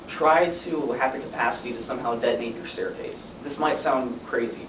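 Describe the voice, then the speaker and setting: A young man giving an informative student speech in a conversational way with deadpan humor. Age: young adult. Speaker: student speaker. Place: classroom. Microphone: distant camera microphone. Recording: distant mic, echoey room, noisy rumble.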